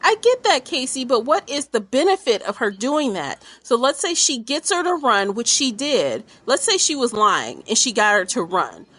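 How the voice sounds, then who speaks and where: A woman talking casually as a panelist in a podcast book discussion, with an animated, exclamatory and slangy way of speaking. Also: a little high, normal speed, hardly any pauses, husky.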